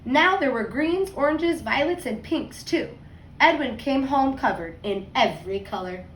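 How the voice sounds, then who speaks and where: Lady teacher doing storytime for little kids, highish voice, bit far from the mic, expressive w little asides. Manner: expressive, deliberate storytelling with emphasized words and conversational asides. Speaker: second grade teacher. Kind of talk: picture book read-aloud for young children, with explanatory asides. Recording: roomy echo, distant mic, faint noise.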